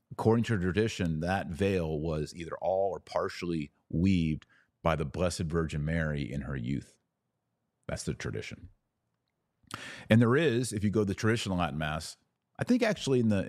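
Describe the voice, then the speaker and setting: A man's voice: low voice, a little mellow, dry recording, clean studio sound. A man hosting a podcast, teaching in a casual, conversational and earnest explanatory way.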